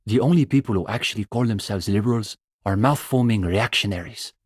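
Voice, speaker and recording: A man's voice: lowish voice, a little fast, slightly rough, dry recording, clean studio sound. An actor playing an earnest young male student communist in a video game, intellectual, opinionated and dryly pedantic. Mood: pedantic, aggrieved. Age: young adult. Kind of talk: video game dialogue voice acting.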